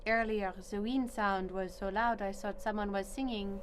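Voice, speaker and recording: A woman's voice: medium pitch, steady tone, moderate pace, a little hiss or hum, natural voice. A woman playing a bold, dramatic backstage performer in an audio drama. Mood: uneasy.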